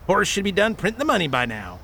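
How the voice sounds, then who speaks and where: Scheming gang boss, high-ish gravelly voice, curt, western radio play, faint outdoor noise. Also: male voice, wildly swooping pitch, fast talker, pushed and bright, exaggerated voice. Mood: bossy, dismissive. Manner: curt, bossy. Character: scheming, bossy male counterfeiting gang leader. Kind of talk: western radio drama acting.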